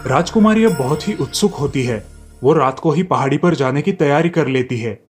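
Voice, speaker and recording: A man's voice: on the high side, expressive, moderate pace, darkish tone, soft background music. A man reading a scripted fairy tale as narrator. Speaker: story narrator.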